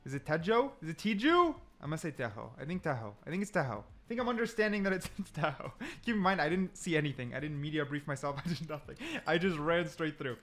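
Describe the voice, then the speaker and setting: A man's voice: fairly high pitch, lively intonation, fast talker, few pauses, fairly bright tone. A man giving casual gameplay commentary, explaining things and repeating points for emphasis. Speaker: gaming video creator.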